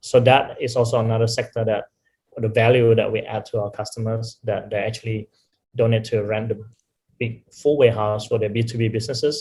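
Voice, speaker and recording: A man's voice: fairly low pitch, steady tone, brisk, a little mellow. A company executive explaining his business in an interview, often checking in with "right?". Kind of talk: interview answers.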